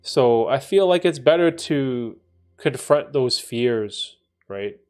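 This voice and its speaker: A man's voice: mid-range voice, animated, takes it slowly, darkish tone. A man in his late thirties hosting a casual livestream, talking in a thoughtful, rambling way and often tagging his sentences with "right?". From Canada. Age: 30s. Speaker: livestream host answering chat. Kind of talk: casual reflective livestream chat.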